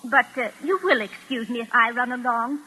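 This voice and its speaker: A high-voiced, proper Englishwoman. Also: normal speed, sharp tone. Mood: brisk, polite. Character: brisk, proper, anxious Englishwoman in a thriller. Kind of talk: 1940s radio acting. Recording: noisy vintage radio broadcast recording.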